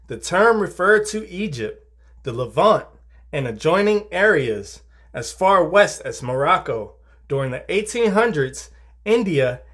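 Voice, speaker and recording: A man reading scripted narration in a formal, measured way, like reference-book entries. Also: a little high.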